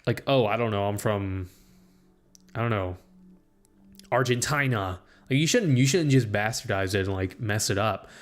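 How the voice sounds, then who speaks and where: A young man in a casual rambling monologue, full of "like" and restarts as he thinks out loud. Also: on the low side, moderate pace, long pauses, faint music behind. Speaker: student streamer.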